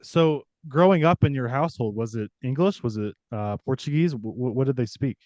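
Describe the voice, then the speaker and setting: Easygoing guy from missouri hosting a sports podcast, mid pitch, dry dull sound. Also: brisk, no echo. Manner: relaxed, brief affirmations. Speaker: podcast host. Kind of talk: casual interview chat. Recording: dry, slightly dull mic.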